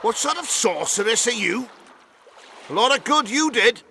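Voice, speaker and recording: A man's voice: very high-pitched, expressive, moderate pace, vocal fry, sharp tone, slight background noise, full character voice. A man voicing an indignant, coarse river-port townsman in a video game, blunt and scolding.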